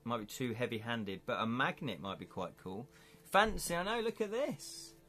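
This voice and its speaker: A man with an English accent hosting a casual live stream, chatty and excitable, chuckling often as he unboxes things at home. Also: medium pitch, normal speed, soft background music. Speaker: livestream host.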